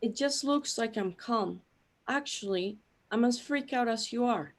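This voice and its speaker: A woman plays an old, composed companion in a sci-fi radio drama. Her fairly high voice stays measured and matter-of-fact. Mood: outwardly calm. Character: old, wrinkled, outwardly calm companion. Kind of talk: radio play acting.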